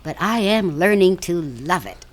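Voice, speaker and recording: An actress playing a devoted but firm wife in a radio drama, affectionate, insistent and persuasive. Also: female voice, lowish voice, very animated, measured pace. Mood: tender. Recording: noisy vintage radio drama recording.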